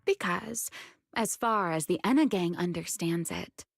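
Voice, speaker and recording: A woman's voice: fairly low pitch, expressive, vocal fry, dry recording, faint music behind, heavily acted. A woman playing a teasing, secretive, long-lived huntress in a video game, coy and poised. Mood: amused, cryptic. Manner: coy, playful, unhurried teasing. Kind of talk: video game story voice acting.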